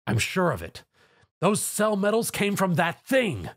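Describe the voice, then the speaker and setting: A man's voice: high-pitched, huge pitch swings, measured pace, pitched above the performer's own voice, full character voice. A man voicing an earnest armored hero, urgent and quippy, in a story. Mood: focused.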